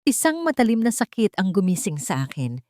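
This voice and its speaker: A woman reading a dramatic first-person audiobook story in a deliberate, emotive way, phrase by phrase. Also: mid-range voice, sing-song, darkish tone, no room sound, clean studio sound. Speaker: audiobook narrator.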